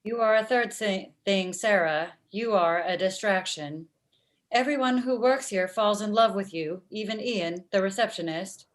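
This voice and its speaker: A woman acts out a sarcastic female robot in a comedy. She speaks in a stilted, deadpan way, bluntly and without sympathy, at a middle pitch. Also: a little slow, close to an everyday voice. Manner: slightly stilted, deadpan, like a digital assistant. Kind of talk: table read of a comedy script. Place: indoor room at home, video call. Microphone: computer mic on video call.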